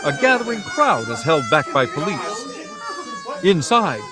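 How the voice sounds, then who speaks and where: A man's voice: on the high side, huge pitch swings, slow pace, a loud crowd. A man with an American accent giving polished, emphatic scripted narration as a 1940s radio announcer. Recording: vintage radio broadcast.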